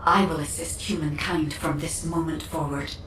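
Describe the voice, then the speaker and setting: A woman's voice: deep voice, fairly even intonation, fairly bright tone. A woman playing a composed, precise and slightly haughty AI guide in a video game, speaking formally and matter-of-factly. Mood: composed, informative. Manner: formal, measured, matter-of-fact. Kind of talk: video game scripted dialogue. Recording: roomy, distant, faint noise.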